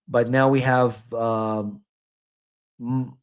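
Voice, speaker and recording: Male live-stream host, attorney type, average pitch, informal advice delivery with repeated words and tag questions. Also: little pitch movement, extremely slow. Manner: repeats words, tags sentences with "right?". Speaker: immigration attorney hosting a live call-in stream.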